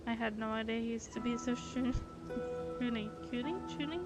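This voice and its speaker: A woman's voice: a little high, steady tone, normal speed. A woman streaming a game with casual commentary, musing aloud in a tentative way. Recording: loud game music behind voice. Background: game music.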